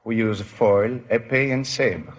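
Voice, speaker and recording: A man from Belgium with a Belgian accent, a fencing instructor earnestly and haltingly answering questions as a contestant. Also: lowish voice. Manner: earnest, halting, non-native phrasing.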